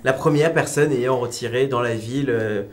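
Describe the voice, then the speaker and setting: A man's voice: medium pitch, moderate pace, a little hiss or hum. A man, chatting casually and teasing the others in a playful way. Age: 30s. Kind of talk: casual chat.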